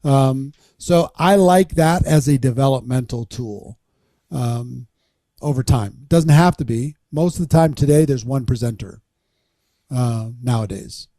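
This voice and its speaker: A man coaching on a webinar, blunt and encouraging, quick to reassure. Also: mid-range voice, warm tone, no echo. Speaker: presentation coach. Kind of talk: casual webinar talk.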